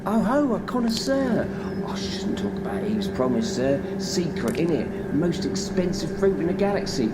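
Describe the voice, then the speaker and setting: An actor playing a chatty, deferential British crewman on a cargo ship in an audio drama. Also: high voice. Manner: colloquial, deferential. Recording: loud vehicle-like background noise.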